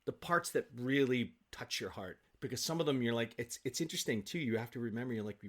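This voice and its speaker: A man speaking as an interview guest in casual, enthusiastic conversation, telling stories in an animated way. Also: medium pitch, fast.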